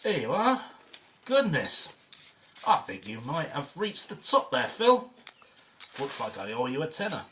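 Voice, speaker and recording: A woman's voice: low-pitched, huge pitch swings, a little slow, long pauses, fairly bright tone, a little hiss or hum, heavily acted. A woman playing an amiable, eager archaeologist in a radio drama. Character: amiable, eager junior archaeologist colleague named Ed.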